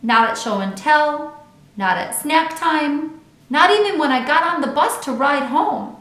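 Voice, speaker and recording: A woman's voice: high voice, expressive, unhurried, a bit bright, pitched above the performer's own voice. A woman voicing a blunt, fretful young schoolgirl who narrates a children's storybook with childlike, plaintive emphasis. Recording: echoey untreated room.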